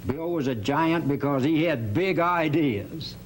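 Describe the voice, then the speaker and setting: A man's voice: a little high, measured pace. A man playing a jovial cowboy storyteller, spinning tall tales in a folksy manner for an educational radio drama. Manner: folksy drawl, chuckling, animated. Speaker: guest storyteller. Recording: hum and faint background noise.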